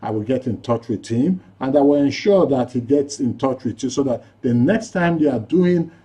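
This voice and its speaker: A man hosting live call-in radio. His voice is dark and relaxed, at an average male pitch, as he handles callers and guests. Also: moderate pace, lo-fi sound. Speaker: radio show host.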